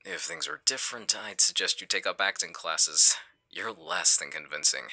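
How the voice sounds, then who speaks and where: A man playing a calculating, menacing villain in an audio roleplay, with a smug, condescending, deliberate delivery. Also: deep voice, big pitch swings, creaky, sharp tone, exaggerated voice. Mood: smug, taunting. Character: smooth-talking male villain, calculating, menacing leader. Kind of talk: audio roleplay acting on a phone call.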